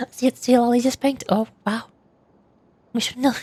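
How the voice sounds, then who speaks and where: A woman with a high voice chats casually as she streams a game. She is playful and reactive, and the sound is dry and close. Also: fairly even intonation, takes it slowly, warm tone, breathy edge, dry recording. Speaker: streamer, VTuber.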